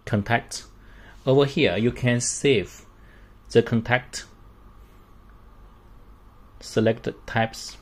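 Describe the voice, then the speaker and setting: Slow-talking man demonstrating a product. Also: medium pitch. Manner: halting, short phrases with non-native English grammar. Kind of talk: unscripted product walkthrough.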